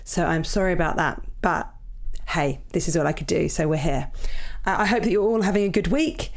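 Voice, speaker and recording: An Irish woman hosting her podcast in a casual, chatty way, her voice croaky from early morning. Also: on the low side, brisk. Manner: chatty, apologetic, upbeat. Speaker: podcast host. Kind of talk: casual chat.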